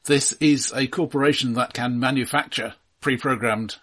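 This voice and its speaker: Husky, wry man critiquing a film. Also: mid-range voice, steady tone, normal speed, fairly bright tone. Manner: wry, pointed, sardonic. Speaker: film podcast co-host.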